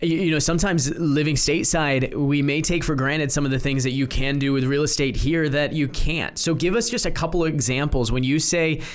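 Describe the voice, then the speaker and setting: A man's voice: on the high side, steady tone, brisk, hardly any pauses. A man hosting a podcast interview, asking follow-up questions and cutting in to steer the talk. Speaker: podcast host. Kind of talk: casual interview.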